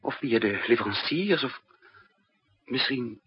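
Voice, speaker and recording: An actor playing a troubled spy living under a false identity in a 1960s radio drama, brooding and hesitant, with dramatic pauses. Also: male voice, mid-range voice, animated, breathy edge. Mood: worried, urgent. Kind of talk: 1960s radio drama acting and first-person narration. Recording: muffled, dull 1960s radio recording.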